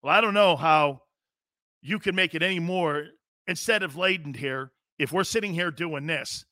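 A man's voice: on the high side, moderate pace, bright, forceful tone, no room sound. A man hosting a sports talk show, speaking emphatically and reading listener messages aloud from a studio.

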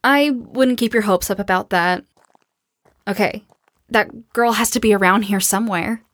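A woman's voice: medium pitch, normal speed, a bit bright, no room sound, clean studio sound, natural voice. A woman playing a tough, determined, commanding survivor in an audio drama. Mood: wary, blunt.

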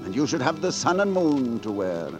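An actor playing a gallant, roguish gutter poet and leader of rabble in a theatrical, declamatory 1940s radio drama style. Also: male voice, mid-range voice, sing-song, normal speed, natural voice. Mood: tender, rueful. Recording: 1940s radio broadcast with music behind.

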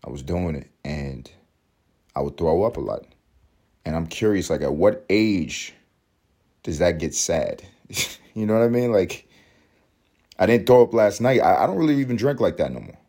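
A man in his thirties from New York City, hoarse and hungover, hosting a casual solo podcast in a rambling, profane way with emphatic repeats. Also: deep voice, very animated, moderate pace, pauses often, dark tone. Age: 30s. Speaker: podcast host.

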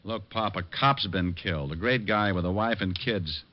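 An actor playing a suave, tough, wisecracking private detective in an old radio drama, with a dry delivery. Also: male voice, low-pitched, normal speed, close to an everyday voice. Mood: tough, pressing. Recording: muffled vintage radio recording.

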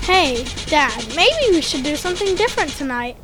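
Woman as a very high-pitched eager little boy. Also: very animated, moderate pace, over loud music. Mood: eager, cheeky. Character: eager, cheeky young boy, the family's son. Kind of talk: church audio drama acting.

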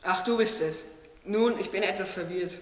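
A man playing a naive, gentle talking tree in a radio play, speaking in earnest, questioning tones. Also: high-pitched, moderate pace, close to an everyday voice. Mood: confused. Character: naive, wondering, gentle young-hearted tree. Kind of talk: German religious audio drama acting. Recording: echoey hall, distant mic, music underneath.

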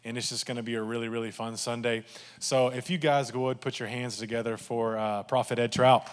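A man's voice: mid-range voice, fairly even intonation, brisk, a little hiss or hum. A man with a Texan accent, a campus pastor, giving a casual, joking welcome from the stage. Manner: joking, chatty, upbeat. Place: church sanctuary. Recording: church hall reverb.

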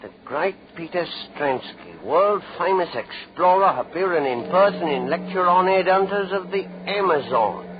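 An actor playing a nosy, chatty Cockney passer-by in 1930s radio drama style. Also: male voice, high-pitched, lively intonation, unhurried, hardly any pauses, crowd noise. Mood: curious. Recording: noisy, muffled 1930s radio recording.

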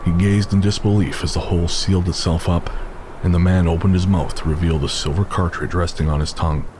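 A man giving scripted, measured narration for a horror audio drama, with a music score playing behind him. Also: low voice, steady tone, background noise.

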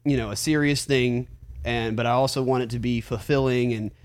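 A man's voice: medium pitch, steady tone, brisk, clean tone. Earnest man in his late twenties. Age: 20s. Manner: earnest, reflective, many fillers. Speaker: musician. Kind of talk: casual podcast chat.

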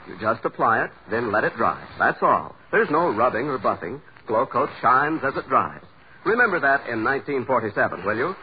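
An American man reading a scripted sponsor pitch as a 1940s radio commercial announcer. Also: sing-song, moderate pace. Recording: muffled old radio, faint noise.

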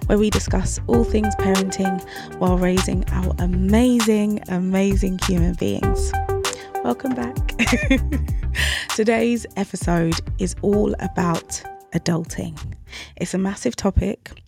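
Gravelly-voiced woman podcast host. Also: medium pitch, slow, over loud music. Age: 30s. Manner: conversational and reflective, openly self-questioning. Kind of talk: solo parenting podcast talk.

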